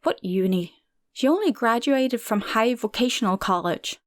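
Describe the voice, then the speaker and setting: A woman's voice: fairly high pitch, lively intonation, moderate pace, no echo, studio quality, lightly acted. Woman voicing a foul-mouthed aunt in her fifties. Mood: angry scornful. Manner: barking, cursing, scornful.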